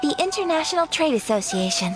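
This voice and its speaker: A woman playing a courteous young noblewoman in a video game, formal and measured, gentle but firm. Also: sits high, big pitch swings. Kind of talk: video game character acting. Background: background music.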